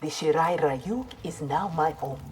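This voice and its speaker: A woman's voice: low voice, a little slow, heavy background noise. An actress playing a proud, principled young ninja warrior woman in a fighting game, with a firm, clipped, earnest delivery. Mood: defiant, resolute. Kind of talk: dramatic fighting game dialogue.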